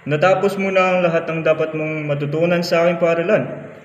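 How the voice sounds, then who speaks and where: A man's voice: on the high side, little pitch movement, a little fast, lo-fi sound, lightly acted. A man playing a formal schoolmaster in an amateur radio drama. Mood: approving. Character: formal schoolmaster testing and praising his pupil. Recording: echoey hall, background noise.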